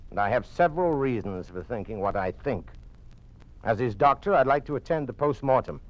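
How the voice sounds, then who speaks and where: A man's voice: mid-range voice, lively intonation, fairly quick, natural voice. A man playing a defiant, suspicious doctor in a police state, blunt and challenging, in an old-time radio drama. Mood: grave, restrained. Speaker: actor. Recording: dull, lo-fi old radio recording.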